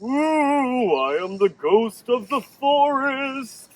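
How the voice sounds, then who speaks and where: A man voicing a spooky forest ghost with drawn-out wails, as in a children's storybook. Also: very high voice, very animated, no echo, pitched above the performer's own voice, full character voice.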